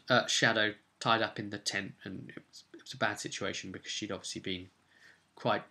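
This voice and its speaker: Bright, forceful man telling stories. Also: mid-range voice. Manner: rambling, animated asides to viewers. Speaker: game master recapping his tabletop campaign. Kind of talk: casual video recap, storytelling.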